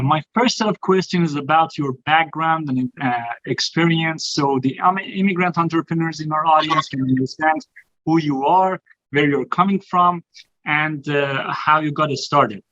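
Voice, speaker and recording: A man hosting a podcast asks friendly questions, filling gaps with "uh" and "um". His voice sits fairly high for a man, and the audio is a little dull. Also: fairly bright tone. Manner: friendly, filler-heavy questions. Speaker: podcast host. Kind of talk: podcast interview.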